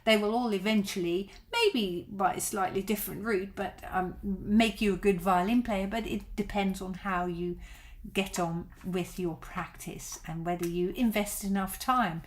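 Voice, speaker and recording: A woman's voice: mid-range voice, steady tone, measured pace. A middle-aged woman whose first language is Dutch, a violin teacher chatting on a live stream and answering viewer questions in a reassuring way.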